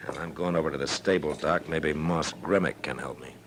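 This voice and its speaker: An actor playing a weary, firm American frontier marshal in a 1950s radio western, speaking in a laconic, authoritative way. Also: male voice, rumbling low, fairly quick, a bit bright. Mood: thoughtful. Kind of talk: 1950s radio drama acting. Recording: faintly noisy old radio recording.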